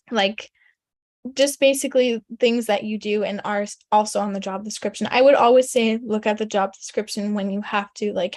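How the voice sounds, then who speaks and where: A young woman whose first language is German, giving a casual, chatty resume webinar full of "like" and "um" and frequent throat clearing. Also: on the high side, darkish tone, no room sound. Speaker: career coach presenting a resume webinar.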